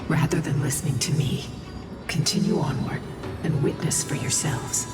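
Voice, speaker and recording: Slow, breathy, weary guide spirit. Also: female voice, low voice. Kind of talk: hushed video game voice acting. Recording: distant echoing hall under loud music.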